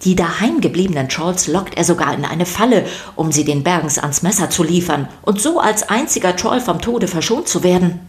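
A woman's voice: on the low side, animated, moderate pace, few pauses, a little mellow. A woman narrating a scripted children's story podcast, addressing her listeners playfully and directly.

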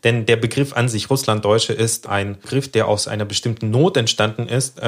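Brief: male podcast co-host, 30s, from Kazakhstan; measured explainer, frequent pauses. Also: medium pitch. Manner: measured, explanatory, many hesitation fillers.

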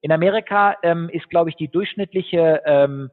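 A man speaking as a guest in an interview, telling stories quickly with fillers. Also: a little high. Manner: fast, animated storytelling with fillers. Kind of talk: conversational interview. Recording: tinny phone-like line with long reverb.

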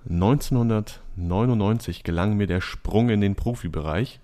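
A young man hosting a podcast quiz, reading out clues in a calm, measured way. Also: fairly low pitch. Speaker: quiz host.

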